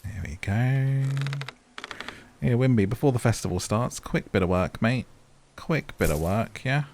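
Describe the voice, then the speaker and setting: A man's voice: medium pitch, animated, measured pace, darkish tone, slight background noise. A British man giving casual, chatty gameplay commentary, playfully talking to the characters as he goes. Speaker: gaming video host. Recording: music under the voice.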